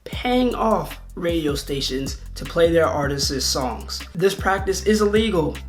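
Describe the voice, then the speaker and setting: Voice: mid-range voice, lively intonation, a little slow, soft background music. A video host, delivering conversational video essay commentary.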